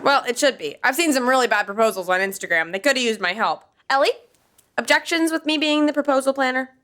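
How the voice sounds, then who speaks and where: An actress playing a bossy, excitable young woman who takes charge in a podcast sitcom. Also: high-pitched, fast talker. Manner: bossy, excitable, self-assured. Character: Laura, bossy, eager young woman planner.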